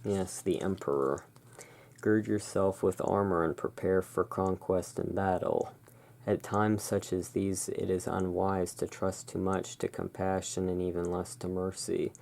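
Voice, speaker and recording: A person playing the soft-spoken, musing owner of a gothic curiosity emporium in a halting, hushed ASMR roleplay. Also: deep voice, steady tone, vocal fry. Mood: solemn reading.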